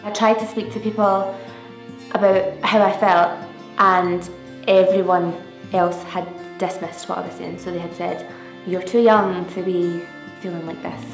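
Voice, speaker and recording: Woman in her twenties, reflective interviewee, low flat voice, roomy sound. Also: moderate pace. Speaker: young volunteer. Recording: roomy sound over soft music.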